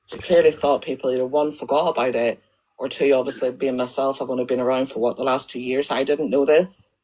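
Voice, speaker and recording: A woman's voice: a bass voice, a little mellow, slight background noise, rough recording. A woman with a Northern Irish accent, talking to viewers on a live stream in an indignant, accusatory way and repeating herself as she builds her point. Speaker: livestreamer.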